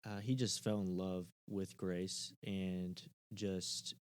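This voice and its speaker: A man's voice: low-pitched, level tone, takes it slowly, a little mellow, clear, resonant tone, no room sound. A man, a church member, talking earnestly in casual conversation, often stopping and restarting.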